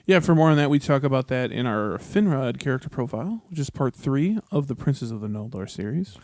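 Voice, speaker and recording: A man's voice: mid-range voice, lively intonation, a little fast, a little mellow, dry recording. A man hosting a casual fan podcast, talking in an informal, jokey way. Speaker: podcast host.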